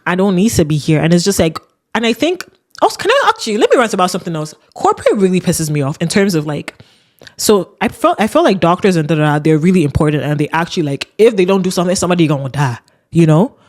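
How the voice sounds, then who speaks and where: A young adult woman with a deep voice, hosting a podcast and ranting casually with laughs. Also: sing-song, quick pace, darkish tone. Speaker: podcast host. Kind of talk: casual solo rant.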